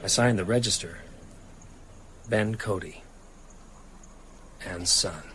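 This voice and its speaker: An actor playing a weary, haunted novelist in a radio drama, narrating in a sombre, measured, reflective way. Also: male voice, sits low, fairly bright tone, slight background noise. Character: haunted, weary male novelist recounting vampire horror. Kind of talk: dramatic first-person narration.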